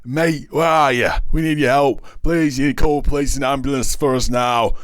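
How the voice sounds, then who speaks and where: A man's voice: mid-range voice, fairly even intonation, normal speed, a bit bright, clean tone, dry recording, clean studio sound, pitched above the performer's own voice, lightly acted. A man voicing an injured, foul-mouthed police officer in a storybook, urgent, desperate and frightened. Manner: urgent, pleading and swearing.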